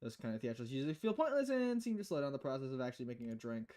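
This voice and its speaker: A dry-humored female bartender in a visual novel, read out by a woman. She speaks in a lowered, subdued register with a deadpan, slightly hesitant manner, low-key throughout. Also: rapid-fire.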